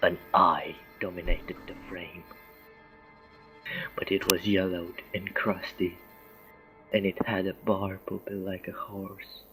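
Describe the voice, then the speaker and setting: A man reading a scary story aloud in a deliberate way, occasionally stumbling over words. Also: deep voice, level tone, low-quality recording. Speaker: story reader. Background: faint background music.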